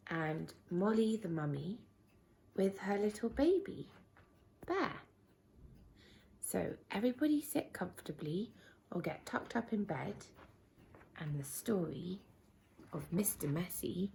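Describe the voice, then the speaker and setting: A woman reads a children's bedtime story expressively and deliberately, speaking directly to young listeners with a breathy, husky voice. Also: lowish voice, darkish tone, a little hiss or hum, poor audio.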